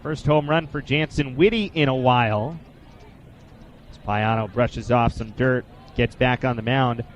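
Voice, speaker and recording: A man in his twenties doing live baseball play-by-play for radio, in a measured, descriptive way with statistics between pitches. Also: slight background noise. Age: 20s. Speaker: play-by-play sportscaster.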